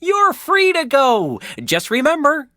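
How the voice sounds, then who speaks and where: A woman voicing a gruff, folksy male gas station owner in a video game, drawling and repeating a goofy slogan. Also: high voice, big pitch swings, slow, fairly bright tone, no echo, studio quality, heavily acted. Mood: folksy, bossy.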